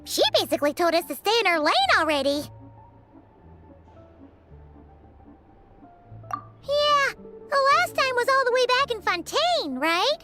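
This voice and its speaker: Tiny childlike fairy companion, squeaky high, lively swooping intonation, chirpy, earnest, video game, music behind. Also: female voice, moderate pace. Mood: earnest, speculating. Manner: refers to herself by name in third person.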